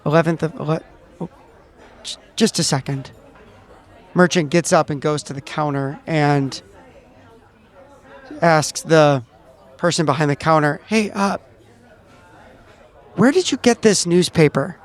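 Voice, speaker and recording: A man playing a tabletop game, improvising narration and character lines in a halting, stammering way. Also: fairly high pitch, a faint crowd. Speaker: player in a tabletop game podcast. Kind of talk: improvised storytelling, acting out a character.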